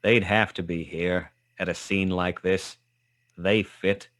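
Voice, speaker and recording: A hardboiled detective tells his tale in terse, wry noir style. The voice sits low and is recorded very dry, close to radio-play narration. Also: fairly even intonation, slow pace, a bit bright, dry recording. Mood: tense, wry.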